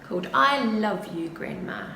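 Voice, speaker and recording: A woman's voice: medium pitch, expressive, a little slow, gravelly, bright, forceful tone. A middle-aged woman narrating a picture book expressively for preschool children, recorded indoors a short distance from the camera. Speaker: preschool story-time reader. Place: indoors, small plain room. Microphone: camera a short distance away. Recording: roomy, faint background noise.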